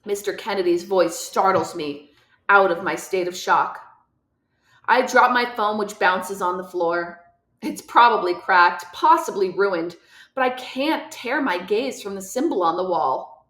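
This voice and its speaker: A woman's voice: mid-range voice, animated, a little slow, fairly bright tone. Woman reading aloud in an echoey room. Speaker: host of a read-aloud book series. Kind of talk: expressive storybook read-aloud. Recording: roomy, echoey room sound.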